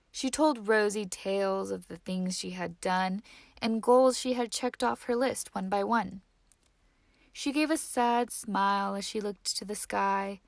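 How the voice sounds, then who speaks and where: A woman reading a children's picture book aloud in an expressive, measured storytelling manner. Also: medium pitch, vocal fry, no room sound, clean studio sound. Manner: measured, dramatic storytelling with hushed phrase endings. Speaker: story reader.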